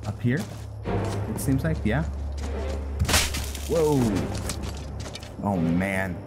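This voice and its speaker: A man with a very low voice gives casual commentary on a game he is playing. He takes his time, thinking aloud in short steps as he goes. Loud music plays behind his voice throughout. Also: sing-song, very slow. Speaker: gamer hosting a roleplay playthrough.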